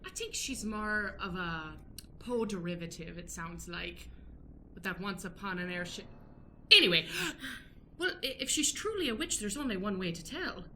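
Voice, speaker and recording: A woman acts out a stern, pious busybody in an improvised radio comedy. Her forceful voice swoops up and down as she declaims with wry theatrical relish. Faint noise sits on the recording. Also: mid-range voice, expressive, normal speed. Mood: scheming, wry. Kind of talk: improvised radio play acting.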